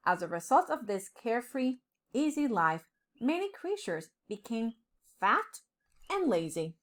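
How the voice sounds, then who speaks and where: A woman reading a children's storybook aloud with dramatic, deliberate phrasing, as a storyteller. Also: fairly high pitch, very animated. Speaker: storyteller, professor.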